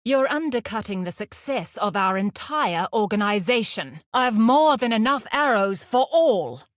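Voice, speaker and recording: A woman playing a fierce, aloof huntress in a video game, speaking in a measured, terse and commanding way. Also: on the high side, sing-song, no room sound, studio quality. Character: fierce, aloof huntress with a predatory, survivalist temper. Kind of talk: scripted game combat barks and dialogue.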